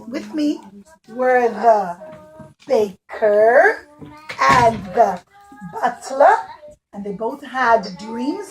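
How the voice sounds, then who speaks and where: High-voiced woman telling children a story. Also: low-quality recording. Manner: simple phrasing, questions to children, dramatic whispers. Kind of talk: animated storytelling to young children.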